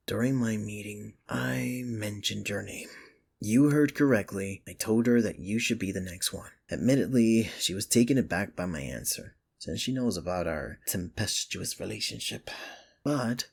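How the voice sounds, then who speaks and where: A man's voice: on the low side, normal speed, vocal fry, a little mellow, slightly rough. A man playing a sardonic but secretly caring office rival in an intimate ASMR romance roleplay, with dry, earnest delivery. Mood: earnest, admiring. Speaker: ASMR voice actor.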